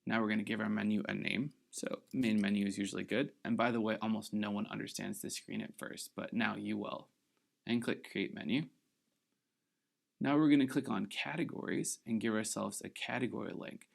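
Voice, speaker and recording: A man hosting a screen-recorded software tutorial, giving upbeat, encouraging step-by-step instructions while slightly losing his voice. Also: fairly low pitch, steady tone, moderate pace, vocal fry. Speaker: tutorial host.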